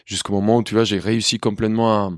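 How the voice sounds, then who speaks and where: A man's voice: lowish voice, quick pace, no room sound, studio quality. A man in his thirties from Colombia with a faint Spanish accent, telling his story in a candid interview, full of restarts and hesitations. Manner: lively, many restarts and hesitations. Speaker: chef guest.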